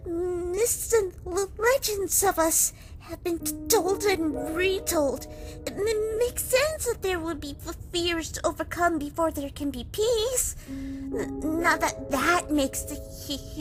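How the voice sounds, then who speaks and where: A woman's voice: very high voice, slow. A woman playing a timid, anxious monster doctor with a stutter and a hesitant manner in a comic dub. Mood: thoughtful, nervous. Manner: hesitant, nervous. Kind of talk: animated comic dub acting. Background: music.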